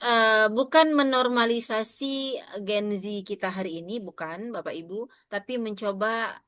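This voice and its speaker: A woman's voice: fairly high pitch, a little slow, fairly bright tone, no room sound, clean studio sound. A woman in her thirties with an Indonesian accent, giving an interactive lecture to teachers, often addressing them directly and asking questions, with frequent fillers.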